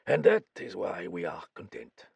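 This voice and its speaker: A man's voice: low-pitched, sing-song, normal speed, creaky, slightly rough, no echo, heavily acted. A man doing a theatrical older Frenchman. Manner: theatrical, mannered.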